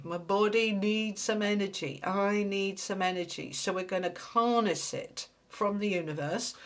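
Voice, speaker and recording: A woman gives a tarot reading to her audience in a casual, chatty way. Her voice sounds congested and a little nasal, as if a cold is coming on. She talks plainly and bluntly while still cheering the listener on. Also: on the low side. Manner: direct, blunt, encouraging. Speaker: tarot reader.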